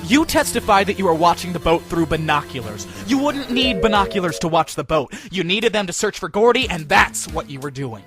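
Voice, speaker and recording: A man's voice: high-pitched, lively intonation, a little fast, few pauses, music in the background. A man playing an earnest, dogged young defense lawyer, speaking with emphatic, probing delivery in an audio drama. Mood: pressing. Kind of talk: fan audio drama voice acting.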